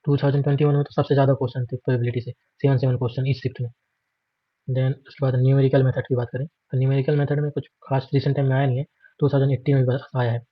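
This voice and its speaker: A man's voice: mid-range voice, little pitch movement, very fast, no room sound, muffled recording. An Indian man giving an informal, matter-of-fact exam prep walkthrough, listing items repetitively and mixing in English terms. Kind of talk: informal screen walkthrough lesson.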